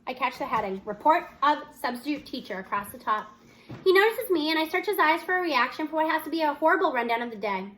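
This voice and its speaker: High-pitched woman reading a story aloud. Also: big pitch swings, quick pace, a bit bright. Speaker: audiobook-style reader. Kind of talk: expressive read-aloud of a children's novel, first-person student narration.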